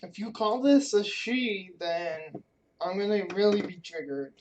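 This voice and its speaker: A woman's voice: mid-range voice, animated, measured pace. A woman giving casual, joking commentary while playing through a video game. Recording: slightly distant mic, faint noise and clicks.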